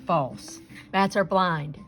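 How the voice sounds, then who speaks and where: A woman reading a children's picture book aloud in an expressive storytelling style, acting out the sounds and dialogue. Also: fairly low pitch, drawn-out words, soft background music. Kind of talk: animated read-aloud narration for children.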